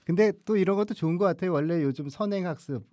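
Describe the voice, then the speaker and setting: Hoarse priest chatting slowly on a podcast. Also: male voice, high voice, mellow, no echo, clean studio sound. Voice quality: slow, hesitant delivery with many fillers. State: hoarse, voice strained after lecturing. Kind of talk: casual podcast chat about films.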